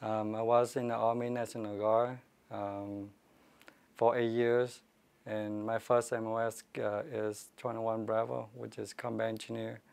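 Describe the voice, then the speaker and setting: A man with a smooth but gravelly voice introduces himself, stopping often with "um." His pitch stays flat as he gives his service details. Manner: hesitant, many "um" pauses. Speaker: records technician and National Guard veteran. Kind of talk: recorded interview testimony.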